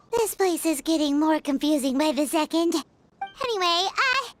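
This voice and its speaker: An actress playing a tiny, excitable fairy companion who chirps and talks about herself in the third person. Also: female voice, very high-pitched, very noisy, exaggerated voice. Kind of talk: video game voice acting. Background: game music.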